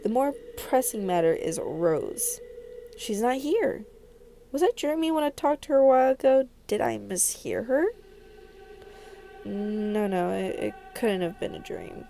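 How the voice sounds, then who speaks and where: A woman with a dark, mellow voice at a middle pitch reads a visual novel aloud for a game video. She acts out the protagonist's thoughts expressively and drops in casual asides, over faint background ambience. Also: expressive, measured pace, creaky, a little hiss or hum. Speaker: gaming video creator reading a visual novel. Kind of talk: dramatic read-aloud narration with casual asides.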